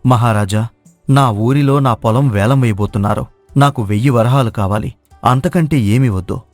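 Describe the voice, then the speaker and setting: A man's voice: mid-range voice, moderate pace, dry recording, faint music behind, natural voice. A man playing a humble, honest village cook who speaks politely and confidently in a moral story. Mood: calm, assured. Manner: polite, assured. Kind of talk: acted moral story dialogue.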